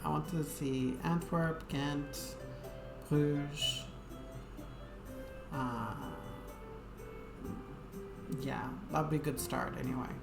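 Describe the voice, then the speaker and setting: A woman's voice: deep voice, fairly even intonation, extremely slow, gravelly, pauses often. A woman game streamer chatting casually to viewers in a rambling, hesitant way, with lots of fillers. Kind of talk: casual live-stream chat. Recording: echoey room with game music.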